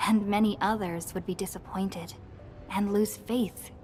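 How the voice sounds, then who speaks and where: An actress voicing a vulnerable, self-doubting amnesiac leader in a video game, speaking in a hushed, hesitant way. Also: female voice, medium pitch, fairly even intonation, unhurried, over music, natural voice. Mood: anxious, confiding. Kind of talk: dramatic video game dialogue.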